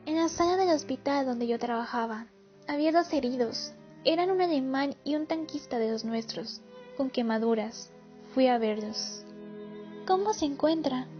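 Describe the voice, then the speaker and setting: A woman plays a wartime nurse giving her testimony in a radio play. Her voice is notably high. The sound is slightly muffled, over soft music. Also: expressive, moderate pace. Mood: earnest recollection. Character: female wartime hospital worker recalling wounded soldiers. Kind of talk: radio drama monologue. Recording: muffled lo-fi audio under music.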